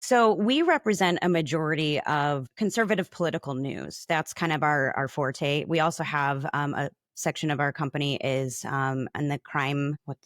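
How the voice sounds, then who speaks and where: A woman's voice: sits low, moderate pace, few pauses, no room sound, clean studio sound. A woman speaking as an interview guest, explaining her industry in a conversational way. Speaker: podcast guest, advertising account manager.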